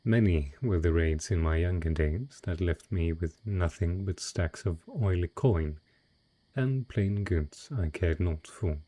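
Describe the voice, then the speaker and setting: A man playing a wealthy, cunning dragon in humanoid disguise, speaking in a refined, eloquent and husky way in a romantic fantasy audio roleplay. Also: low voice, warm tone. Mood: wistful, suave. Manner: refined, eloquent, unhurried storytelling.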